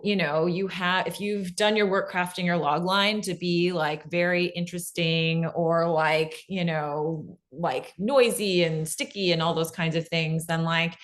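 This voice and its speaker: A woman giving an informal workshop talk and answering questions, encouraging, with lots of "like" and "right?" in her speech. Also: lowish voice. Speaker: presenter answering audience questions.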